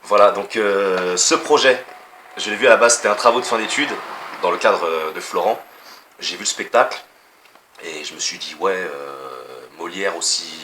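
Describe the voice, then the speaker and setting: A man's voice: deep voice, normal speed, pushed and bright, a little hiss or hum. A man in his twenties, an acting student, enthusiastically telling how he joined a theatre project. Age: 20s.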